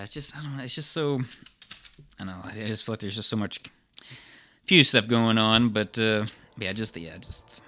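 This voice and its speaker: A man chatting casually as a podcast co-host, in a halting, rambling and hesitant way. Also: fairly low pitch, pushed and bright. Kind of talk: casual chat.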